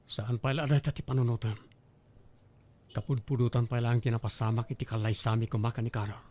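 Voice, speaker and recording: A man's voice: medium pitch, little pitch movement, fast talker, dark tone, natural voice. A man acting a beleaguered young husband in a Filipino radio drama, with an Ilocano accent and a defensive, placating manner. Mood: uneasy. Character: beleaguered young husband caught between wife and mother.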